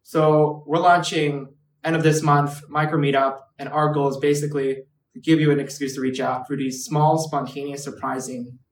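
A man's voice: a little high, level tone, normal speed, far from the mic, slight background noise. A man in his twenties from Hong Kong, a guest chatting casually on a podcast with lots of "like" and "right". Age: 20s. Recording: roomy echo, away from the mic.

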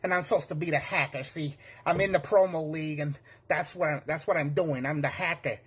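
A man's voice: a little high, a little fast, fairly bright tone. A man playing a nervous, bumbling young tech-support rookie, hesitant, apologetic and stammering, in a comedic monologue. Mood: panicky. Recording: clearly noisy background, keyboard clicks.

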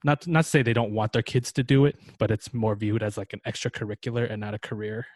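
A young American man hosting a casual podcast talk, relaxed, with lots of fillers and restarts. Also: lowish voice, brisk, no echo. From United States.

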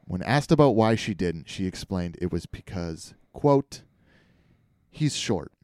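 A man's voice: low voice, animated, unhurried, a little mellow, clear, resonant tone, dry recording, studio quality. A man hosting a podcast, telling a comedic story in a wry, irreverent way. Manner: wry, irreverent, swears casually.

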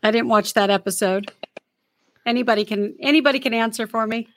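A woman chatting casually, cheerful and quick to laugh. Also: a little high, a bit bright. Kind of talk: casual chat.

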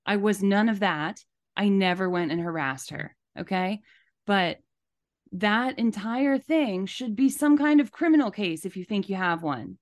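A woman's voice: mid-range voice, lively intonation, a little slow. A woman co-hosting a podcast in casual, opinionated discussion, speaking emphatically and indignantly and repeating points for stress. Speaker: podcast co-host.

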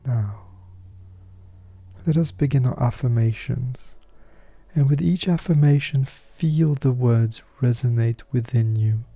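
A man leading a guided meditation with affirmations, soft-spoken and soothing, leaving unhurried pauses. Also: medium pitch, no room sound.

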